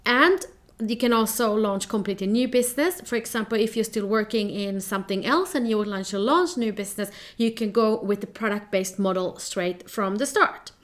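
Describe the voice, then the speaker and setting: A woman in her thirties from Finland with a Finnish accent, presenting a business workshop in a clear, steady, explanatory way. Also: medium pitch, normal speed.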